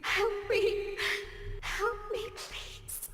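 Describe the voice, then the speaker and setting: An actress playing a forlorn ghost woman who pleads in a drawn-out manner in a video game. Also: very high-pitched, level tone, fairly bright tone, heavily acted. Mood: forlorn pleading. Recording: long echoing reverb, music behind.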